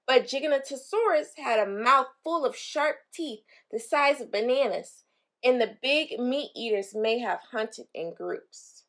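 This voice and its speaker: A woman with a fairly high voice reads a picture book aloud for children. She takes it very slowly, adding simple explanations for young listeners as she goes. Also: fairly bright tone. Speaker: read-aloud storyteller for children.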